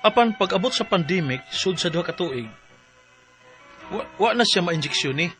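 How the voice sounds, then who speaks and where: A man's voice: high voice, lively intonation, normal speed, a bit bright, soft background music. A man acting a worried, weary husband in a radio drama, earnest and troubled. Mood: troubled, confiding. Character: worried, weary working husband pleading for his wife.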